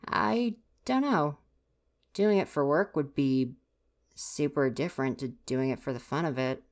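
Low-voiced, huffy tsundere student stagehand, acted by a woman. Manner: huffy, exasperated, sighing scolding. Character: grumpy flustered tsundere student stagehand, university age. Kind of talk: ASMR roleplay, acted directly to the listener.

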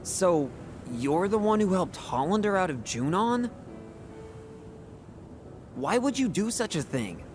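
A man's voice: sits high, big pitch swings, slow pace, pauses often, soft background music, heavily acted. A man voicing an earnest, idealistic young soldier hero in a video game, with a casual, open and emphatic manner. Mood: challenging, puzzled. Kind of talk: dramatic video game voice acting.

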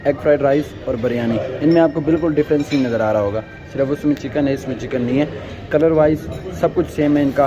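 A man's voice: medium pitch, highs cut off. A young man with a Pakistani accent casually reviewing food as a vlogger, informally mixing in English words. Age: young adult. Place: large indoor restaurant. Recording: loud restaurant chatter behind the voice. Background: busy crowd chatter.

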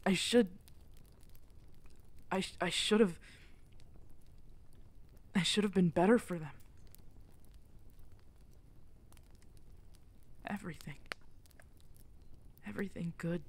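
A woman playing a guilt-ridden, protective exiled werewolf alpha in an intimate ASMR audio drama, halting and emotional, often slipping toward a breathy whisper. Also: lowish voice, no echo, exaggerated voice. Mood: bitter self-blame.

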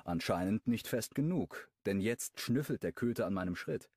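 A low-voiced man reads a first-person romance novel with a wry, expressive delivery and a lot of melodic movement. The sound is close and completely dry, like a studio booth. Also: moderate pace, dry recording. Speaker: audiobook narrator.

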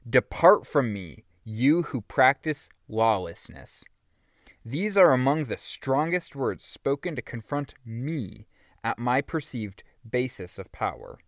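A man narrating a religious teaching audiobook in an earnest, emphatic, deliberately phrased way. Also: mid-range voice, big pitch swings, no room sound, studio quality. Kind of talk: scripted audiobook reading of a religious teaching book.

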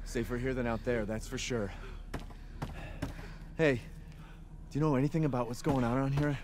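An actor playing a wary outsider man who speaks low and guarded, with dry humor. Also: fairly even intonation, normal speed, noisy background, lightly acted.

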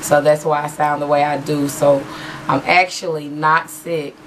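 A woman talks at a webcam in a small room at home, her voice hoarse and low. She rambles through a beauty haul with plenty of fillers. The recording has a clear hiss of background noise. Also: flat delivery, unhurried, rough recording. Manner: chatty, rambling with many fillers. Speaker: beauty vlogger. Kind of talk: casual haul video chat. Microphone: webcam in front of her.

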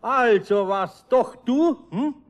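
An older actor playing a kindly, firm master carpenter in a 1970s radio play. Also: male voice, very high voice, lively intonation, slow pace, exaggerated voice. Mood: fatherly. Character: older kindly master carpenter, gruff but good-natured.

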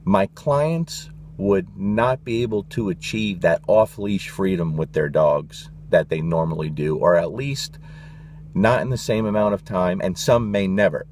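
A man's voice: low-pitched, unhurried, a little mellow, a little hiss or hum. A middle-aged man, a dog trainer, talking straight to camera in a passionate, blunt vlog rant, swearing casually and peppering his talk with "you know" and "guys".

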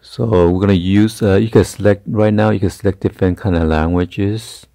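A man giving a casual hands-on tech tutorial in a halting, hesitant way. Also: sits low, fairly even intonation, gravelly, dark tone, no echo. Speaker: tutorial host. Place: carpeted room indoors.

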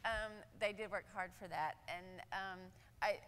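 A woman's voice: mid-range voice, a little slow, smooth. Candid older woman in a reverberant hall. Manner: candid, animated, with filler words. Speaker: nurse panelist. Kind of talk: panel discussion. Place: large conference hall stage. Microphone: lapel.

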